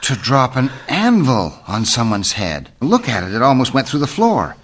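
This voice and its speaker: An actor playing an affable, plain-spoken writer and sidekick in an old-time radio mystery, with an expressive delivery. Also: male voice, medium pitch, moderate pace. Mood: curious, relieved. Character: affable male writer with an outlaw past, sidekick.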